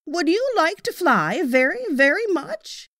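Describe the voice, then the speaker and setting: A woman voicing a polite old female alley cat, a well-travelled storyteller, courteous and expressive, for a storybook. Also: very high voice, measured pace, no echo, studio quality, voice pushed up, heavily acted. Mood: polite, kindly.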